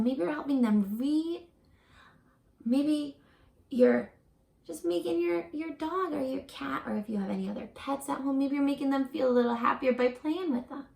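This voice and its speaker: A woman doing an animated read-aloud for young children as a teacher, gentle and encouraging, pausing to put questions directly to the listener. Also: sits high, creaky, roomy sound. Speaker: teacher reading a picture book aloud to children.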